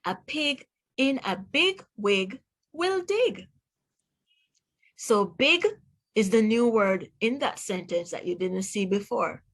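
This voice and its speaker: A woman reading aloud to young children as a teacher, pronouncing words deliberately one by one and putting questions to the listener. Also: mid-range voice, expressive. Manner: deliberate word-by-word enunciation, asks the listener questions. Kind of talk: read-aloud phonics lesson for children.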